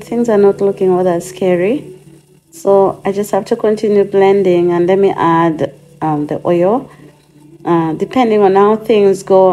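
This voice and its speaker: A woman with a fairly low, slightly hoarse voice, recovering from a cold, talks her way through a recipe in her home kitchen. She chats casually and thinks aloud, filling pauses with ums. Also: a little mellow. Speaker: host of a DIY channel. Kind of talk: casual cooking demonstration.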